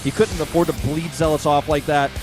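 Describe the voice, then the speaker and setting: A young man casting a strategy game live, excitable and rising sharply when the fighting gets big. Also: fairly high pitch, fairly quick. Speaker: esports caster. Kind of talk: play-by-play commentary. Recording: commentary over loud game music.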